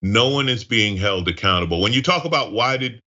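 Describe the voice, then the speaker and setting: Low, forceful male political commentator. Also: expressive, brisk, highs cut off. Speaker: guest commentator.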